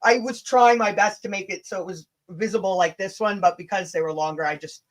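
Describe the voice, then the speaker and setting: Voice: mid-range voice, brisk. A livestream host chatting casually and reading messages aloud, blunt and sweary, stumbling and rereading as they go.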